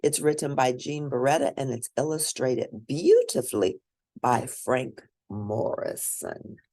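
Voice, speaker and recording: A woman reading a children's picture book aloud as host, in expressive, deliberate storytelling. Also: rumbling low.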